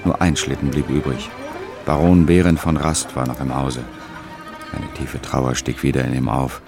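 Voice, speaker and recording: A man narrating a literary radio drama as a sombre, measured storyteller. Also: rumbling low, little pitch movement, music in the background.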